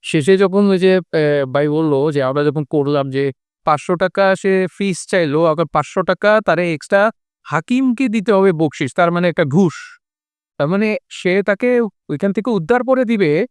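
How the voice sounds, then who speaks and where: A man's voice: high voice, normal speed, clear, resonant tone, no room sound, studio quality. A man with a Bangladeshi accent giving his views as a panelist in a colloquial, conversational way, circling back to rephrase. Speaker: discussion panelist. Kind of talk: conversational discussion.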